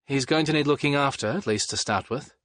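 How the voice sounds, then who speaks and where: A man voicing a caring young male doctor who talks brisk and friendly. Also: medium pitch, a bit bright, no echo, pitched above the performer's own voice, lightly acted. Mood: reassuring.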